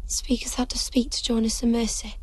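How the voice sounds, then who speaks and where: An actress playing a frightened but determined young Puritan farm girl in a period film, speaking archaic words in a hushed, solemn way. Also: fairly high pitch, level tone, brisk, slight background noise, natural voice. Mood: solemn, demanding.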